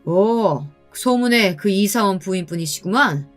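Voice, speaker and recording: A woman plays a middle-aged male company boss in an audio story. He is smug and presumptuous, talking down with too much familiarity, over soft music. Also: mid-range voice, animated, unhurried, natural voice.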